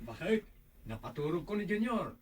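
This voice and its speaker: A man's voice: high voice, sing-song, normal speed. A man with an Ilocano accent playing an eager young husband and new father in a radio drama. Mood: hesitant.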